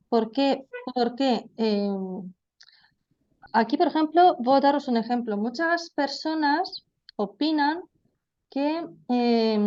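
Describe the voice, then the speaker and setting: A woman's voice: a little high, darkish tone, highs cut off. A woman giving an online lecture to trainee teachers, explaining patiently with frequent fillers. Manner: explanatory, addresses students as vosotros, frequent fillers.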